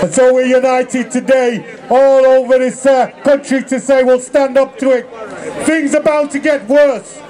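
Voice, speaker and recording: Man shouting a rally speech outdoors. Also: extremely high pitch. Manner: rousing call-and-response. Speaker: rally speaker. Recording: crowd noise, open air.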